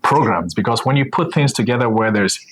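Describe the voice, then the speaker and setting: A man's voice: mid-range voice, lively intonation, brisk, a bit bright, slight background noise, low-quality recording. A middle-aged male physician from Ghana, telling his career story in an easygoing, conversational way with plenty of filler sounds. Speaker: physician guest. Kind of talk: conversational interview.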